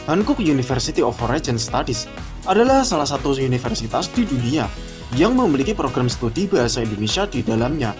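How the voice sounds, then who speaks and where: A man narrates a list video in a casual, friendly script, often calling out to his viewers. His pitch moves around a lot as he reads. Loud guitar music runs under his voice. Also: sing-song, fairly quick. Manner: casual, addresses viewers as guys.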